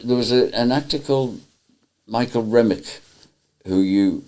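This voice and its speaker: A man's voice: mid-range voice, a little slow, warm tone. An older man reminiscing and teasing a colleague in mock-scolding fashion. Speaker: panto writer and dame.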